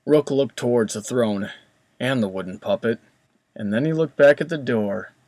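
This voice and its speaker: A man narrates a scripted story in a mid-pitched voice. The recording is dry and close, with no room sound around him. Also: moderate pace, warm tone, dry recording.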